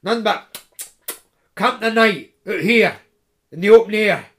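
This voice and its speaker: A man doing Kalava, a blunt gruff student. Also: high voice, a little slow, a bit bright, voice pushed up.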